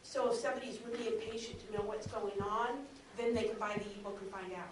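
A woman's voice: medium pitch, steady tone, fast talker. A woman speaking informally as a producer on an audio drama panel. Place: convention panel room, indoors. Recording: very echoey, distant, noisy room.

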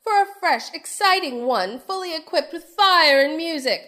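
A woman's voice: very high voice, animated, normal speed, bright, forceful tone, heavily acted. A woman playing a proud, jealous, middle-aged stage actress with biting sarcasm in a theatrical radio drama style. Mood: indignant. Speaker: voice actor in an audio drama. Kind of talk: theatrical old-fashioned radio drama acting.

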